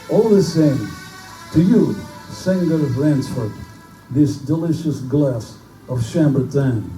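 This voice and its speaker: Man playing a suave gloating aristocratic hunter, very mellow. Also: a little high. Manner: formal, theatrical, condescending. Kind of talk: live pulp radio-play acting. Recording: echoey live venue, distant mic, music behind.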